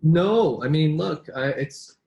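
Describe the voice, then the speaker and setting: A man's voice: on the high side, animated, slow pace, vocal fry, darkish tone, muffled recording. A man, born in New York and raised in Vancouver, talking casually as a business English coach, with frequent restarts and rhetorical questions. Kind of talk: casual conversation between colleagues.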